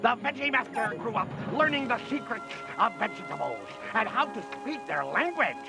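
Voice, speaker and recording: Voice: mid-range voice, very animated, moderate pace, gravelly. An actor playing a pompous, theatrical, self-crowned male vegetable king in a cartoon, with grandiose delivery. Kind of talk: exaggerated cartoon villain voice acting. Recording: music behind the voice.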